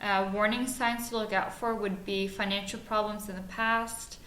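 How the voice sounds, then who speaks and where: A woman in her twenties from Norway, co-hosting a podcast and giving practical advice in a measured way with frequent fillers. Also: medium pitch, fairly even intonation, a bit bright. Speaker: podcast co-host. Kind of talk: scripted podcast chat. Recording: echoey room, faint noise.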